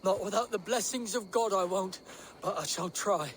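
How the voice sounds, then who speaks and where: High-voiced, plaintive peasant farmer. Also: male voice, normal speed, a bit bright, a little hiss or hum. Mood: mournful. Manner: plaintive, folksy, theatrical. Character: hapless, pious, excitable peasant sheep farmer. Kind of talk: video game cutscene acting.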